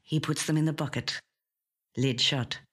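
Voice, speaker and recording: An actor with a slightly husky, breathy voice reading a literary short story in a measured, hushed way. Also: mid-range voice, steady tone. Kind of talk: scripted literary narration.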